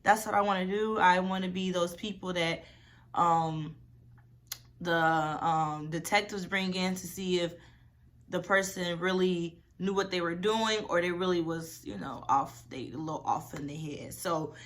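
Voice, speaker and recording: A woman's voice: fairly low pitch, steady tone, unhurried. A woman of twenty-one, chatty and rambling, answering questions in a casual vlog. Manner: chatty, rambling, lots of "y'all" and "like". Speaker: vlogger.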